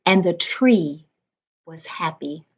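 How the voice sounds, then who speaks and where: Slow-paced woman reading a storybook. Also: fairly low pitch, expressive, darkish tone, husky. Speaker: reading coach, storytime reader. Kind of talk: read-aloud children's storybook narration.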